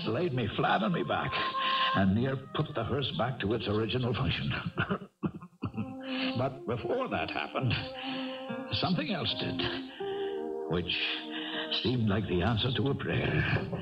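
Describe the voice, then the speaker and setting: Folksy irish horse trainer, kindly stubborn dreamer, swoopy voice, old radio play, dull distant sound. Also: male voice, mid-range voice. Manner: folksy lilting turns of phrase. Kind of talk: 1970s radio drama acting.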